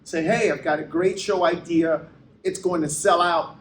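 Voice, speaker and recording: A man's voice: fairly high pitch, fairly even intonation, normal speed, echoey room, slight background noise. A man co-hosting a live talk show, chatting casually and teasing his co-host, often repeating and restarting his words. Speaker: theater owner and co-host.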